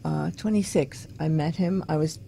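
An older woman from Long Island, New York, a guest telling her life story casually and a little haltingly. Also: low voice, creaky, noisy background. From Long Island, New York, United States. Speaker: interview guest, women's activist.

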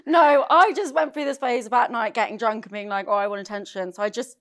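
A woman's voice: fairly high pitch, quick pace, a bit bright. A young woman, the host's sister, chatting casually as a guest, giggling and mocking herself. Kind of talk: casual chat.

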